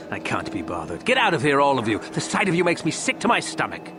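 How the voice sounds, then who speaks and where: Sneering Sith instructor with wildly swinging intonation. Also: male voice, medium pitch, huge pitch swings, fairly quick, noisy background. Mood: exasperated, contemptuous. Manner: haughty, theatrical, mocking. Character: sneering, sadistic Sith instructor, contemptuous bully. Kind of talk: video game villain acting.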